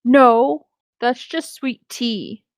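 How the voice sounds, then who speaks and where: Female co-host for a read-aloud, slow-paced, slightly high voice, teasing, recorded dry. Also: no room sound. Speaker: co-host reading story parts. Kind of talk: casual story reading with banter.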